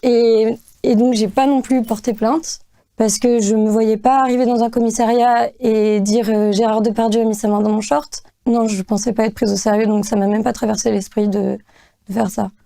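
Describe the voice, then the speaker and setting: A young woman giving interview testimony, hesitant with frequent fillers and pauses. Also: fairly high pitch, level tone, gravelly, warm tone.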